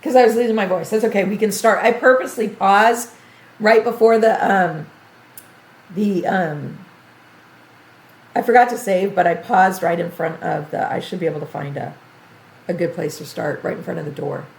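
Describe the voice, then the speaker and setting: An older woman streaming a video game tutorial, chatty and thinking aloud as she plays, her voice going a bit hoarse. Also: medium pitch, normal speed, echoey room, low-quality recording. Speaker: gaming streamer. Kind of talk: game tutorial livestream commentary.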